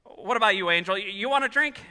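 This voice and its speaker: A man's voice: high-pitched, expressive, quick pace, sharp tone, full character voice. An actor playing a bewildered, stammering, folksy small-town man in a 1940s-style radio play performed on stage. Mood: dejected, bewildered. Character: agitated, bewildered small-town everyman wishing he was never born. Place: theatre hall, live performance. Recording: live stage taping in reverberant hall.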